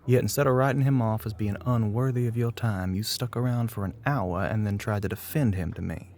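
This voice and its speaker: A man playing a smug, flirty, middle-aged bartender with a Southern US accent in an intimate ASMR roleplay, smooth-talking and often dropping toward a whisper. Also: on the low side, brisk, a little mellow, lightly acted. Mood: earnest, coaxing. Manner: drawling, smooth-talking, pet names, often breathy near-whisper.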